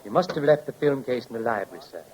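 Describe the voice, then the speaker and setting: An actor playing a polite butler in a 1940s radio drama, speaking formally and deferentially. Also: male voice, medium pitch, lively intonation, fast talker, darkish tone, breathy edge, poor audio. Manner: formal, courteous. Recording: old-time radio recording.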